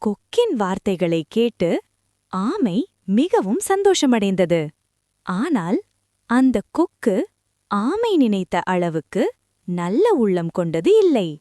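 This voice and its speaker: A woman narrating a scripted children's story in short, deliberate phrases. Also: mid-range voice, sing-song, mellow, dry recording, clean studio sound.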